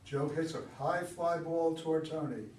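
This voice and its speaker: A man's voice: a little high, fairly even intonation, moderate pace, darkish tone. A man reading stage directions as narrator at a staged play reading. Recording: echoey room, far from mic, background noise.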